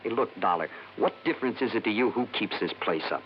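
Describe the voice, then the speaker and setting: Sullen, sneering son, male actor: hot-tempered, quick delivery, dull vintage radio recording. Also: fairly low pitch, expressive, natural voice. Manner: belligerent, sneering. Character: surly, husky, resentful grown son, hot-tempered. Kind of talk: 1950s radio acting. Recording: muffled, noisy old radio recording.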